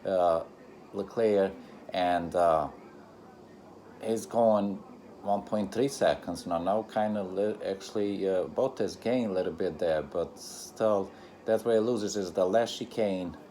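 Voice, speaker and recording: A man who is a non-native English speaker gives informal live race commentary for a reaction video, in halting, repetitive phrases with broken grammar. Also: low voice, dark tone, slight background noise. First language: not English.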